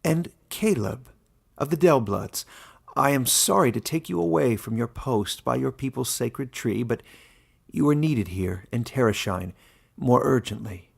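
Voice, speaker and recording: A man voicing an old warden of a fantasy storybook, kindly and playful, speaking with formal, measured authority. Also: mid-range voice, animated, creaky, breathy edge, full character voice. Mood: solemn, welcoming.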